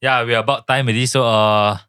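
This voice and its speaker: A young man with a Malaysian English accent, co-hosting a podcast in relaxed chat and wrapping up the episode. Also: mid-range voice, brisk, clean tone, no room sound, clean studio sound. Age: young adult. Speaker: podcast co-host. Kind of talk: casual chat.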